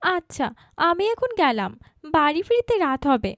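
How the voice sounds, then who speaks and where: A woman's voice: high-pitched, sing-song, moderate pace, fairly bright tone, no echo, clean studio sound, heavily acted. A woman voicing a headstrong, argumentative eighteen-year-old daughter in a cartoon. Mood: defiant. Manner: argumentative, insistent. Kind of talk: cartoon dialogue acting.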